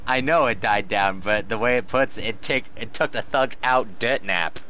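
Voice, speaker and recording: A man's voice: mid-range voice, a little slow, bright, forceful tone. A man reading fan fiction aloud for laughs, with casual banter and frequent giggly breaks. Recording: muffled, noisy home recording.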